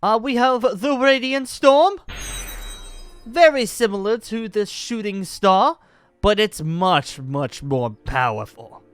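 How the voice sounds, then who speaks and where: A man's voice: high voice, lively intonation, slow pace, fairly bright tone, clean tone, no echo, full character voice. A man playing an exuberant, boastful dragon-slayer wizard in a Minecraft roleplay, speaking in a pompous, genteel and theatrical manner. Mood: proud, instructive. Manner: theatrical, flamboyant, cackling, pompous. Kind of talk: improvised Minecraft fantasy roleplay acting.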